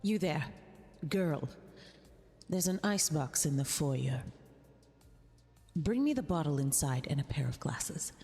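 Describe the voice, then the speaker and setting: A woman's voice: deep voice, expressive, mellow. A woman voicing a vain, possessive eldritch empress in an ASMR roleplay, with formal, condescending, intimate delivery. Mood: cold, jealous command. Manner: imperious, condescending, formal diction, drawn-out delivery. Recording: long reverb, music behind. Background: music.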